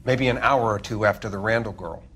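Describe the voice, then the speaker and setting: An actor playing a matter-of-fact American police coroner in a 1940s detective game, speaking in a dry, clinical way. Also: male voice, on the low side, brisk, fairly bright tone, breathy edge. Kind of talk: video game acting, 1940s detective drama.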